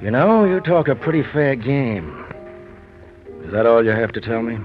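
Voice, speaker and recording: A man's voice: mid-range voice, big pitch swings, lightly acted. Male actor as weary, skeptical police sergeant for 1940s radio noir, clipped delivery, muffled audio, faint music. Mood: dry, knowing. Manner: dry, clipped, unhurried. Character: world-weary, sardonic male Chicago police detective sergeant.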